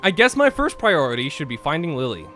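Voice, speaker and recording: A man's voice: a little high, sing-song, brisk, bright, forceful tone, soft background music. A man hosting a casual game stream, reading aloud and bantering with his co-players in an exasperated, pleading way. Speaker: host reading a visual novel aloud on a group stream. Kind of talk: casual gaming banter with read-aloud narration. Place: group voice chat during a game stream.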